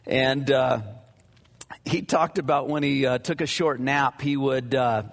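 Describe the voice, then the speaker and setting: A man leading a church service, moving from prayer into Bible teaching. He talks in a casual, halting way, full of "uh"s and restarts. His boomy mic rings out with a long reverb, as if in a can. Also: fairly even intonation, gravelly. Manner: halting, many fillers and restarts; conversational, anecdotal. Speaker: pastor.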